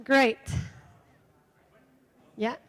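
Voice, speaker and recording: A woman's voice: very slow, a bit bright. A woman from Mexico with a Mexican Spanish accent, opening and presenting a conference workshop in a casual, hesitant way with many fillers. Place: conference room.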